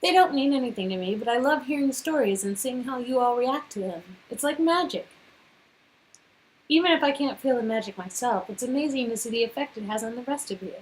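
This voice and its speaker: A woman voicing a gentle, slightly detached and wistful companion in a story game. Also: fairly high pitch, animated, a little fast, a little hiss or hum, close to an everyday voice, rough recording. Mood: gently affectionate.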